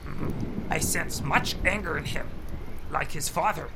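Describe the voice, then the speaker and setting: A man playing a tiny ancient alien master in an audio drama, mischievous, cranky and wise, with a croaky voice and inverted phrasing. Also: fairly high pitch, unhurried, noisy background. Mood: grave, stern. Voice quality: croaky, gravelly. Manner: inverted syntax, chuckles and hums between phrases. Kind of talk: audio drama character acting.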